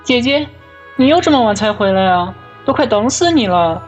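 A woman's voice: on the high side, expressive, moderate pace, dull audio, faint music behind, close to an everyday voice. A woman voicing a young, affectionate little brother in an audio drama, childlike and playful. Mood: excited, playful. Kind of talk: Chinese audio drama acting.

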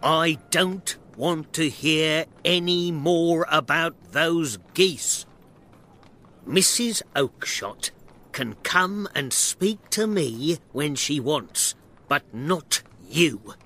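A man voicing an angry, gruff, bullying shopkeeper in an acted storybook reading, shouting dismissively. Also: fairly high pitch, slow, hardly any pauses, pitched above the performer's own voice, heavily acted. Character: tall, angry, bullying male poultry shopkeeper.

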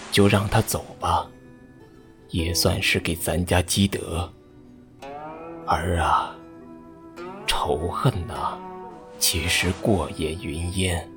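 Casting: benevolent old father, costume radio play, male actor; low register, unhurried, grave and sighing. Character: aging father, forgiving, grave family patriarch. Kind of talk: Chinese costume audio drama acting.

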